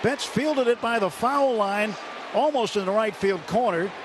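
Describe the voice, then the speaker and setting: Guy doing baseball radio play by play, voice a bit high, clipped calls, lots of ballpark noise behind him. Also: a little slow. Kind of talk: live baseball play-by-play commentary. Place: stadium broadcast booth. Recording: noisy live ballpark radio broadcast. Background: loud ballpark ambience.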